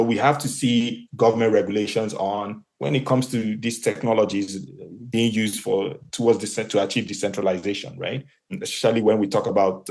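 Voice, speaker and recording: A man's voice: on the low side, a little mellow. A man from Nigeria whose first language is Igbo, speaking as a podcast guest, thoughtful and full of fillers and restarts. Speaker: guest, marketplace co-founder and CEO. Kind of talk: podcast interview answer.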